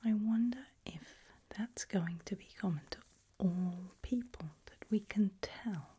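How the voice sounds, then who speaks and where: A woman speaking in a gentle, breathy, unhurried whisper, performing an ASMR roleplay as a calm, caring mortician. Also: mid-range voice. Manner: gentle, unhurried, soothing murmur. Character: calm, caring mortician tending the soon-to-be reanimated dead.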